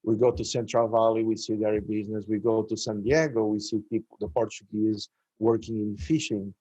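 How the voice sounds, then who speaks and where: A male host guiding an interview, speaking deliberately with many pauses and "uh"s. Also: fairly low pitch, steady tone, mellow, dry recording, low-quality recording.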